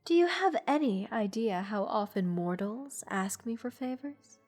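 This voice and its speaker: A woman playing a haughty, bored goddess in a roleplay audio drama, condescending and teasing in a languid, breathy delivery. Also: fairly high pitch, lively intonation, a little slow, a little mellow. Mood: bored, weary. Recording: long reverb, music underneath. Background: orchestral music.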